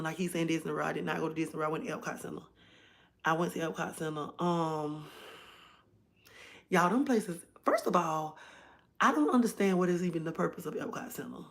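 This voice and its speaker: A hoarse woman hosting a casual livestream from home, chatting directly to her viewers. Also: lowish voice. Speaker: livestream host.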